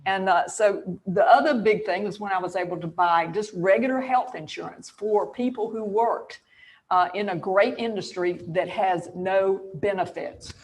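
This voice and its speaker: A woman's voice: medium pitch, moderate pace, poor audio. Emphatic woman in her sixties. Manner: emphatic and candid, laughs between points. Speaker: real estate agent on a panel. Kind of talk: panel talk, personal story.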